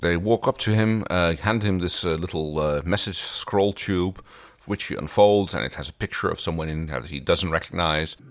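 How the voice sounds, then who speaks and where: Deep-voiced joking man on a podcast. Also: moderate pace, hardly any pauses. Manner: joking, informal. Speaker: podcast co-host. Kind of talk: casual TV episode recap chat.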